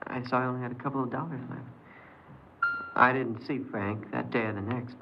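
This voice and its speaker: A man's voice: mid-range voice, steady tone, brisk, noisy background, natural voice. An actor playing a naive teenage runaway boy who puts on a worldly front, hesitant and defensive, in 1950s radio drama style. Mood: sheepish confessing. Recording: muffled 1950s radio recording with music.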